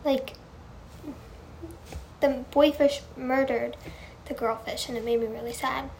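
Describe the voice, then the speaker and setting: A woman with a high, girlish voice chatting casually on a livestream, answering fan questions in a gushing, earnest way and often repeating herself. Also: steady tone, unhurried, darkish tone, a little hiss or hum, rough recording.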